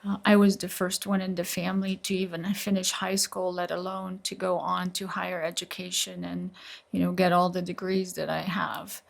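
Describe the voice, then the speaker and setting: Gravelly woman, middle-aged. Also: fairly low pitch, level tone, normal speed. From Slovenia. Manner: earnest, recounting at length. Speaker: neurologist guest. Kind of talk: interview storytelling.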